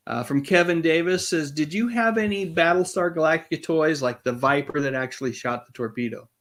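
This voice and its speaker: A man's voice: fairly high pitch, brisk, fairly bright tone. Friendly man hosting a casual livestream. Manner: friendly, courteous. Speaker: livestream host. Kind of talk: casual chat, reading out viewer questions.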